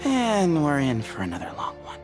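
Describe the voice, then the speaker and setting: A man's voice: fairly high pitch, huge pitch swings, moderate pace, music in the background. A man playing a laid-back, dry and weary young man in a video game. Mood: dry, unimpressed. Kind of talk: video game character acting.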